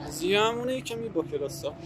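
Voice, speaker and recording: A man's voice: sits high, animated, crowd noise. A young man co-hosting a casual vlog outdoors, trading joking radio banter with a friend. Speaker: co-host.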